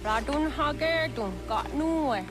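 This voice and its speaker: An actress playing a serious elderly village matriarch in a video game cutscene, speaking in a measured, grave way. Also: female voice, sits high, over music. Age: older. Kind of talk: dramatic game cutscene acting.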